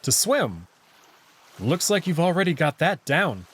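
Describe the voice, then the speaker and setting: A man's voice: fairly high pitch, sing-song, measured pace, a bit bright, slightly rough. A man reading scripted first-person story narration from a visual novel, with acted dialogue. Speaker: narrator and voice reader.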